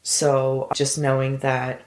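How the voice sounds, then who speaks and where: A woman with an unusually deep, low voice talks in a measured, reflective way. Her voice rings in an echoey room as she chats with her co-host. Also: monotone, a little hiss or hum. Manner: measured, reflective, agreeable backchannels. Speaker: podcast co-host. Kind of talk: casual conversational chat.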